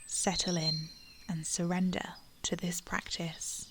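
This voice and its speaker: A woman guiding a gratitude meditation in a slow, soft, soothing voice that drops to a breathy near-whisper at times. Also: lowish voice, little pitch movement, noisy background. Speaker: meditation guide. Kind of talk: guided meditation.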